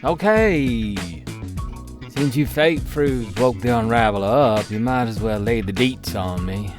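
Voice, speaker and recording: A man's voice: medium pitch, lively intonation, measured pace, natural voice. Man acting a groovy cosmic sleeper, laid-back, slangy, hipster drawl, comedic audio drama, music behind. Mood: cool, grudging.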